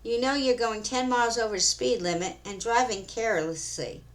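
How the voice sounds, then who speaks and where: A woman voicing a stern, by-the-book male police officer who talks slowly and firmly. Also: fairly high pitch, voice pushed up, natural voice, rough recording. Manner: slow, firm, official.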